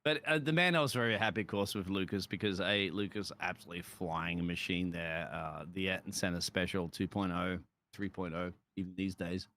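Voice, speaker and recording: A male race commentator with a fairly low voice. He chats with drivers in a friendly, matey manner, often stumbling and restarting his sentences, and talks into a headset over a call. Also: fairly even intonation, brisk, a bit bright, a little hiss or hum. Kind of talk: sim racing commentary and interview.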